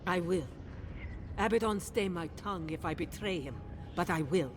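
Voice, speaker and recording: An actress playing an anxious, devout leader of an endangered keep, earnest and formal, in a video game cutscene. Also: female voice, normal speed, lightly acted. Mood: grave, resigned. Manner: earnest, urgent, emotive, formal. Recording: clear rumbling ambient noise behind.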